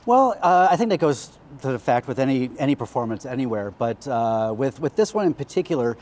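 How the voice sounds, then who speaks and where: Male actor as talk guest, American, 30s-40s, mid-pitched, casual conversational delivery. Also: very animated, brisk, a little mellow. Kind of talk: conversational interview. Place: large decorated indoor hall. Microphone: lapel mic.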